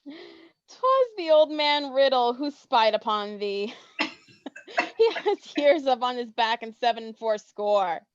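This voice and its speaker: Woman playing a high-voiced teasing teenage girl, muffled. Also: lively intonation, takes it slowly, a little hiss or hum. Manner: playful archaic banter. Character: teasing, carefree teenage daughter, mischievous friend. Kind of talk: Shakespearean stage acting.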